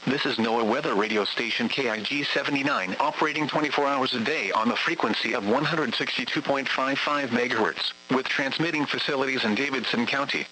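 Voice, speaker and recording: A man announces a routine emergency alert test with a measured, formal delivery. His voice is bright and forward, with a faint hiss underneath. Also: mid-range voice, poor audio. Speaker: weather radio announcer.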